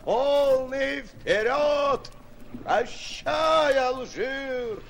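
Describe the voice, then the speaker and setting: An actor playing a boastful, excitable, hapless adventurer in a theatrical 1940s radio drama, flustered and stammering, given to grand exclamations. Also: male voice, very high-pitched, very slow, slight background noise, exaggerated voice. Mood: jubilant. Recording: old 1940s radio recording, faint music.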